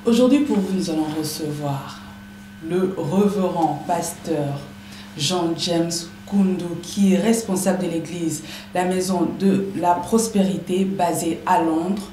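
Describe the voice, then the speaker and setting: A woman's voice: on the low side, a little slow, warm tone. A woman hosting a TV interview show, polite and formal with light laughter. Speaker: TV show host. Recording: echoey room with background noise.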